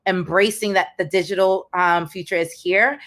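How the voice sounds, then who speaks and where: A woman's voice: on the low side, normal speed. A young woman with an American accent, speaking energetically as a panelist on a video call. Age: young adult. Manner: energetic, emphatic, repeats words.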